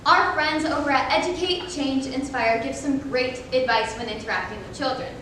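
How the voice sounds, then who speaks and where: A woman's voice: sits high, normal speed, sharp tone. A young woman giving a scripted stage presentation in an emphatic, instructive manner. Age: young adult. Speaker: college speech competitor. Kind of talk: scripted readers theater presentation. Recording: very echoey room, distant mic, faint noise.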